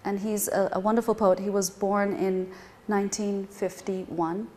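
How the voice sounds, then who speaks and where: A middle-aged woman, a Persian-speaking translator born in London, giving a thoughtful, explanatory talk to a live audience, often pausing on uh and um. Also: medium pitch, flat delivery, a little mellow. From born in London, grew up partly in Iran. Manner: thoughtful, explanatory, frequent uh and um fillers. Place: reading event venue, in front of an audience.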